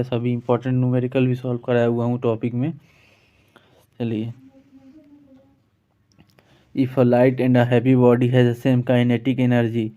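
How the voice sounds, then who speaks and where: A man's voice: medium pitch, warm tone, clean tone. A man with an Indian accent giving an informal exam-prep physics lesson as a teacher, working through questions. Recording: dull, lo-fi sound.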